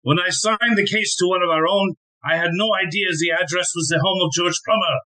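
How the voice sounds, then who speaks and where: A man acts out an alarmed police sergeant in an audio drama. His voice is high for a man and sharply bright, with a flustered manner as he reports to his superior. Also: steady tone, fairly quick, low-quality recording. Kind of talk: audio play acting.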